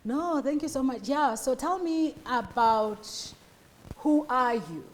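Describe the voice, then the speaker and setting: A woman's voice: sits high, animated, slow pace. A woman with a South African accent hosting a casual interview, chatty and teasing, with frequent laughter. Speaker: talk show host.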